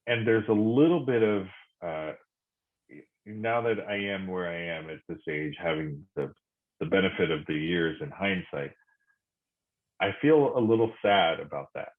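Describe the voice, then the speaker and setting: A middle-aged man from Korea reflecting in conversation, speaking thoughtfully with many pauses and restarts. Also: low-pitched. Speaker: podcast guest. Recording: phone-like narrow sound.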